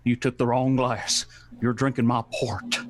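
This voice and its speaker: A man playing a courteous, avuncular gentleman companion in a comic, old-fashioned radio play. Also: medium pitch, little pitch movement, measured pace, soft background music, close to an everyday voice. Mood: polite.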